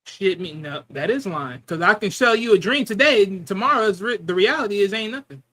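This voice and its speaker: A man's voice: high voice, expressive, a little fast, sharp tone. A man guesting on a casual podcast, blunt, profane and mocking. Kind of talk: casual chat.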